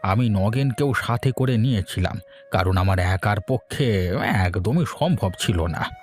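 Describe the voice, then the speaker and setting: A man's voice: on the low side, lively intonation, unhurried. A man playing a generous, self-satisfied village elder in a cartoon folk tale, hearty and declamatory. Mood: pleased, hearty. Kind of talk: cartoon fairy-tale dialogue. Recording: faint music behind the voice.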